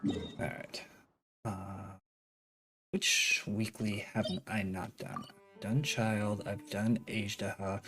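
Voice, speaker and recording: Casual male game streamer over music. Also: on the low side. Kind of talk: casual livestream gaming commentary. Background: video game music.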